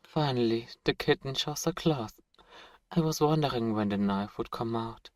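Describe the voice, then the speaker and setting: A man's voice: mid-range voice, normal speed, a little mellow, no echo, natural voice. A man playing a suave, possessive, menacing vampire lord in an ASMR roleplay, speaking in a formal, mockingly tender way. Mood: menacing, taunting. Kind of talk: ASMR roleplay audio drama.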